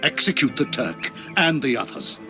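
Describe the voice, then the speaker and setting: An actor playing a stern, scheming old knight commander in a video game, imperious and formal. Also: male voice, mid-range voice, sing-song, normal speed, natural voice. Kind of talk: video game cutscene acting. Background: faint music.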